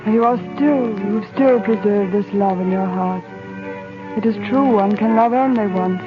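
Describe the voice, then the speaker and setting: A woman's voice: medium pitch, a little slow, close to an everyday voice. An actress playing a tender, earnest lady in a solemn 1930s radio drama. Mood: tender, probing. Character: earnest, tender lady questioning an old love. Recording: muffled 1930s radio broadcast over clear music.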